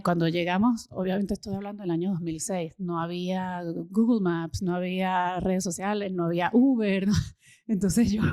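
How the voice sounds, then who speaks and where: A Venezuelan woman in her thirties, talking enthusiastically as an interview guest and often pausing with fillers and repeated words. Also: mid-range voice, dark tone, no echo, studio quality. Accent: Venezuelan Spanish. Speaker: guest, social impact consultant. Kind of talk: casual interview conversation.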